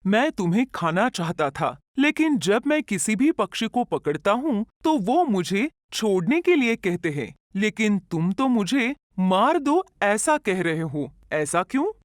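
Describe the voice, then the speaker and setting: Woman voicing a lively, predatory eagle. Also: medium pitch, measured pace, no room sound, clean studio sound. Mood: puzzled. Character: big male eagle, predatory, puzzled then wary.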